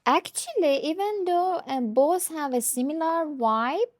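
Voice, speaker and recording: Slow-talking woman with a higher voice. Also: lively intonation, no echo, clean studio sound. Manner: hesitant, thinking aloud. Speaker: tarot deck reviewer. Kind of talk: casual unscripted show-and-tell.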